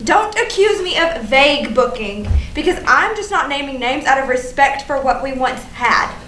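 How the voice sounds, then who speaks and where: A woman's voice: high-pitched, lively intonation, normal speed, sharp tone, soft background music. A woman acting a frantic, melodramatic young woman who rambles and exclaims in a comedic staged reading. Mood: wounded, indignant. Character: frantic young woman agonizing over social media feud. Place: live staged reading in a room. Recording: roomy, distant mic, faint noise.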